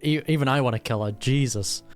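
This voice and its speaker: A man's voice: mid-range voice, fairly quick. A man giving casual reaction commentary on a video game, informal and thoughtful, with blunt wording. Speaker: gaming reaction host. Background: game music.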